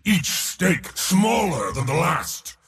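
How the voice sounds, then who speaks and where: An actor playing a boastful, battle-hungry god of war in a video game, with a pompous, theatrical swagger. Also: male voice, sits high, very animated, slow pace, vocal fry, a bit bright, in a large hall, heavily acted. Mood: wistful, grandiose. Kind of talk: video game character voice lines.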